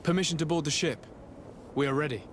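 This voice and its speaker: A man's voice: fairly high pitch, slight background noise, close to an everyday voice. An actor playing a composed young nobleman envoy in a video game, speaking formally and modestly. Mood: brisk, polite. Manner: formal, measured, polite. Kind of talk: scripted video game cutscene acting.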